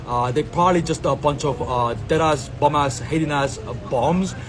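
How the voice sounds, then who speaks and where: A man's voice: fairly high pitch, moderate pace, background noise. A man from Sri Lanka recording a rambling outdoor monologue as a content creator, full of slang, fillers and repeated catchphrases. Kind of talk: rambling self-filmed monologue. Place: outdoors in a public space.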